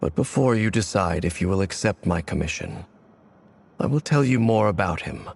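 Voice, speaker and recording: An actor playing a weary, grave swordsman haunted by an old betrayal, speaking in a deep, measured way in video game dialogue. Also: expressive, vocal fry, slightly rough, full character voice. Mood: resolute.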